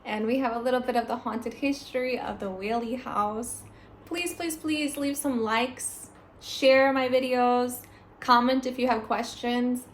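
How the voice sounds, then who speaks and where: A woman's voice: fairly high pitch, fairly even intonation, unhurried, slight background noise. An American woman vlogging casually to her viewers in a chatty, rambling way, recorded at home. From United States. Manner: chatty, friendly, rambling asides. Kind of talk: casual vlog talk to camera. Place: home indoors at night.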